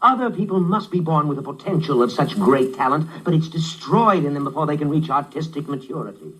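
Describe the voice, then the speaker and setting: An actor playing a vain, self-pitying bohemian painter with pompous, brooding delivery in a 1950s radio drama. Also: male voice, on the high side, lively intonation, a little fast, fairly bright tone, poor audio. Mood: self-important brooding. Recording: 1950s radio broadcast, slightly husky sound.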